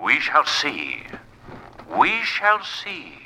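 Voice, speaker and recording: An actor playing a grim, mysterious male avenger in a 1940s radio thriller, commanding and ominous in a deliberate delivery. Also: a little high, huge pitch swings, over loud music, heavily acted. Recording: noisy old radio broadcast recording.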